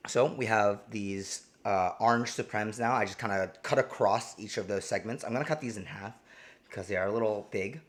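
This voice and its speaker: Chill guy from jersey cooking on stream, lowish voice, lots of ums. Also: a little fast. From New Jersey, US. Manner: easygoing, rambling with many ums and restarts. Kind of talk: casual live cooking demo, reading chat.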